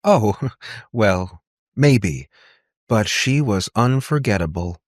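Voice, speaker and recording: A man's voice: fairly low pitch, animated, slow pace, no echo, clean studio sound. A man voicing a conciliatory aunt in an audiobook, tentative and anxious to connect. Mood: coaxing. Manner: tentative, placating. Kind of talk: audiobook character dialogue.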